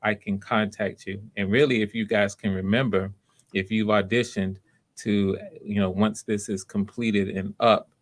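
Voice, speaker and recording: Low-pitched man hosting a livestream. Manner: halting, explanatory, repeats himself. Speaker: livestream host running voice auditions. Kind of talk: casual live announcements to viewers.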